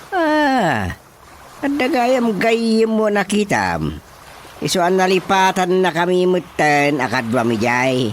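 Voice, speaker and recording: A man playing a grumbling, excitable older village father in a radio comedy drama, exclamatory and scolding, with an Ilocano Filipino accent. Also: sits high, a little hiss or hum, exaggerated voice. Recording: radio drama with music underneath.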